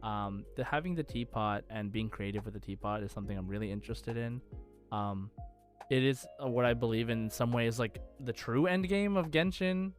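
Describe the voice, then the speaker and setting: A man's voice: mid-range voice, normal speed, soft background music. A man hosting a gaming podcast, chatting casually and with enthusiasm about the topic. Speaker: podcast host.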